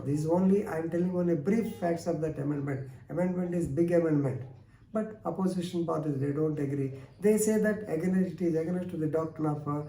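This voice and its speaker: A man's voice: high-pitched, dark tone. A man with an Indian accent giving an explanatory law lecture in a didactic way, repeating phrases for emphasis. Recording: echoey room, distant microphone.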